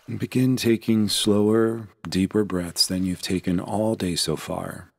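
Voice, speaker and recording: A man with a very deep voice leads a guided meditation. His delivery is gentle and unhurried, with a noticeable creak in the tone. The sound is dry and close, free of room echo. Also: fairly even intonation. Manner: gentle, unhurried, soothing instruction.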